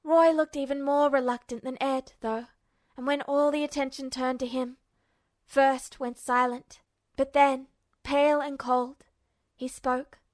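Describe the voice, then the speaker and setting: A woman reading fiction aloud as an expressive audiobook narrator. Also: high-pitched, a little slow, dry recording, clean studio sound.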